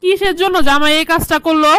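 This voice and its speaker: A woman acts out a meddling middle-aged cartoon mother with a Bangladeshi accent. She has a high voice and a goading, know-it-all manner, heard close and dry. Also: steady tone, fast talker, bright, forceful tone, no echo. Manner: goading, opinionated. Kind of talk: comedy cartoon dialogue.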